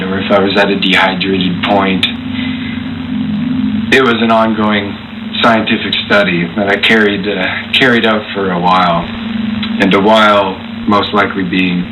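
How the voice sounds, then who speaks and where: A man telling a personal anecdote in a deliberate, halting, over-precise way. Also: lowish voice. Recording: clear background noise.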